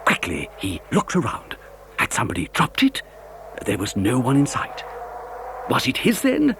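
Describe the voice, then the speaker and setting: A man's voice: medium pitch, huge pitch swings, moderate pace, creaky, a bit bright, a little hiss or hum. A man narrating a children's story as dramatic scripted storytelling.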